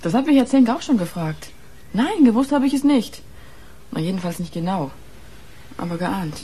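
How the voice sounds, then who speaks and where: A woman's voice: mid-range voice, sing-song, moderate pace, warm tone, slight background noise, natural voice. An actress playing a guarded, grieving young woman in a naturalistic radio crime drama. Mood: hesitant. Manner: guarded, hesitant. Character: guarded, grieving sister of a dead policeman. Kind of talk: naturalistic radio play acting.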